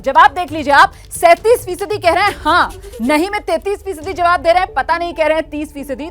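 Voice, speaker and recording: A woman's voice: sits high, bright, forceful tone. A woman anchoring live television news with an emphatic, rhetorical delivery.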